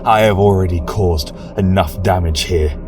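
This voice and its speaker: A man voicing a grieving, scorched fantasy warrior who is cold yet grimly merciful, speaking in halting, solemn pronouncements. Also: deep voice, recorded from a distance, music in the background, natural voice. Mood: cold, sorrowful. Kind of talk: dramatic fantasy audio storytelling.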